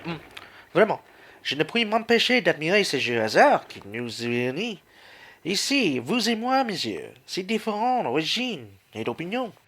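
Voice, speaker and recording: A woman's voice: lowish voice, sing-song, measured pace, a bit bright, slightly rough, heavily acted. A woman playing an eloquent, opinionated male political publicist in a radio play, speaking rhetorically. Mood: convivial. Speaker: student actor. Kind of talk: radio play acting in French.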